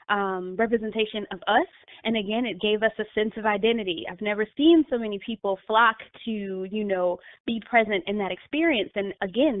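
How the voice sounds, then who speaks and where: A woman's voice: medium pitch, dry recording. A woman guest, a life coach, talking through her thoughts in a casual interview, with many fillers and restarts. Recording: tinny phone-line audio.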